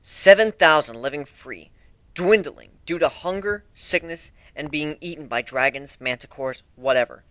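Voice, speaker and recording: A man's voice: fairly high pitch, normal speed, a bit bright, dry recording. A man giving an amateur dramatic reading of a fan fiction story in a theatrical manner. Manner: theatrical, acts out dialogue.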